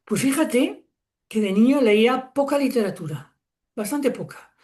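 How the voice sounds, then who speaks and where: A man's voice: very high voice, lively intonation, unhurried, darkish tone. Reflective Spanish man in his sixties. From Spain. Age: 60s. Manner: reflective, many fillers and self-corrections. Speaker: guest author. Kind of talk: relaxed interview conversation.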